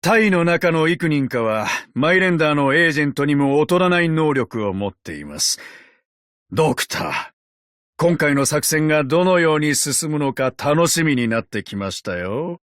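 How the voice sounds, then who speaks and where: A man voicing a courteous, ancient tin man agent in a video game, calm and sardonic, with a polite, measured, quietly teasing delivery. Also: a little high, no echo, clean studio sound. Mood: calm, nostalgic. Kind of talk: Japanese video game character voice lines.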